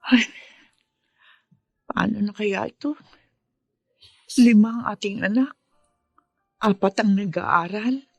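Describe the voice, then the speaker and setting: A woman's voice: medium pitch, slow pace, vocal fry, long pauses, slightly rough, no echo, soft background music, heavily acted. An actress playing a worried, plaintive mother of a poor family in a radio drama. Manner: anxious, plaintive. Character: worried, struggling mother of five. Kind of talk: Filipino radio drama acting.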